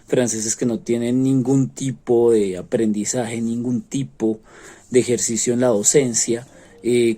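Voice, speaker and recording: A man from Colombia with a Colombian accent, a French teacher earnestly explaining his view to students, pausing often on fillers. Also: mid-range voice, mellow. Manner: earnest, frequent hesitation fillers. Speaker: French teacher, interviewed expert.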